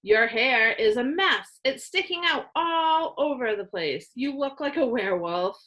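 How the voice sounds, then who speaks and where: Woman as a bossy schoolgirl sister, emphatic, animated pitch. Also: a little slow. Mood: teasing. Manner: taunting, emphatic.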